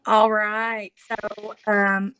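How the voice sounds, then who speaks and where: A woman's voice: mid-range voice, little pitch movement, very slow, sharp tone, a little hiss or hum, low-quality recording. A woman hosting a casual livestream from home, chatting and laughing and reading viewer comments aloud. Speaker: livestream host. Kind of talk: casual live chat.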